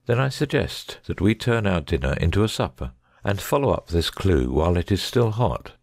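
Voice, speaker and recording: A man's voice: low-pitched, very animated, moderate pace, darkish tone, dry recording, studio quality, exaggerated voice. A man playing a shrewd, self-assured detective in a dramatised audiobook, speaking precisely and formally. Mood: brisk, resolute.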